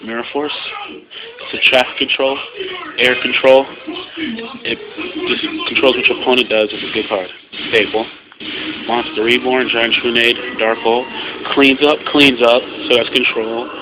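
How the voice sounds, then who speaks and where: A man's voice: medium pitch, steady tone, moderate pace, long pauses, background noise. A man casually talking through his card game deck off the cuff, explaining and rephrasing his points as he goes. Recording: muffled lo-fi home recording, loud music behind. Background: loud music.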